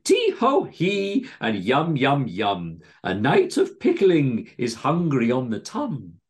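Creepy, gleeful little thief: high, swooping, sing-song rhymes with drawn-out words, comic storybook style. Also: male voice, wildly swooping pitch. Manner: rhyming chants, tittering, drawn-out words.

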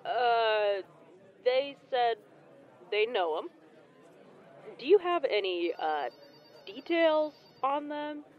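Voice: medium pitch, animated, drawn-out words, pauses often, dull audio, some chatter behind. An actor playing a nervy, wry young biologist in an audio drama, talking in a casual, rambling way. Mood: probing, awkward. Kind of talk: naturalistic audio drama acting.

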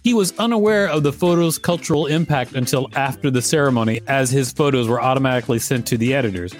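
A man's voice: medium pitch, fast. A man hosting a show, reading an encyclopedia-style article aloud. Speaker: podcast host and reader. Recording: music behind the voice. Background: background music.

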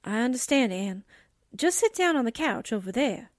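A woman playing an excitable, naive but caring sidekick in a radio mystery drama, expressive and exclamatory. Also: on the high side, normal speed, no room sound, clean studio sound. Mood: concerned.

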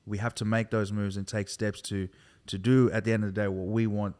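An Australian man in his thirties from Sydney, a hip hop artist talking as a guest in a relaxed, rambling way, peppered with "like" and "bro". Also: fairly low pitch, a little fast. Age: 30s. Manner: laid-back, loose and rambling, full of "like" and "bro". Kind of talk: casual conversation.

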